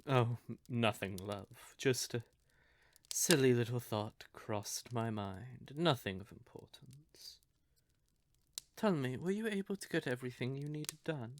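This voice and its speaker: A man playing a courtly, possessive vampire lover in an audio roleplay, speaking theatrically and deliberately with syrupy endearments and breathy, quietly menacing undertones. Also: medium pitch, slight background noise, natural voice. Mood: tender, guarded. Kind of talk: scripted audio roleplay addressed to the listener.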